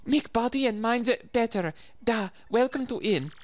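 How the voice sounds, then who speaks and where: A man's voice: very high voice, lively intonation, unhurried, no echo, pitched above the performer's own voice. A man voicing a hospitable male bomb-creature innkeeper with a Russian accent and clipped, broken phrasing. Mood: welcoming.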